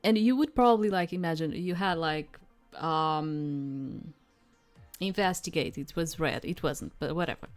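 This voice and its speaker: Low-voiced woman rambling through a game. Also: soft background music. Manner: rambling, halting, repeats herself. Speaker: streamer playing a visual novel demo. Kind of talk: casual playthrough commentary, reading game text aloud.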